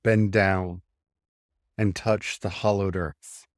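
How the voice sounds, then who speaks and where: A man leading a guided meditation, giving gentle instructions in short phrases with unhurried pauses. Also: low voice, level tone, dry recording, studio quality.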